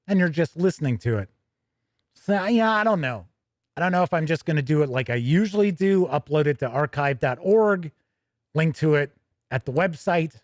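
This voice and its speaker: A man's voice: a little high, no echo, clean studio sound. A middle-aged American man hosting a podcast in casual, rambling chat, often breaking off and restarting his thoughts. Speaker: podcast host.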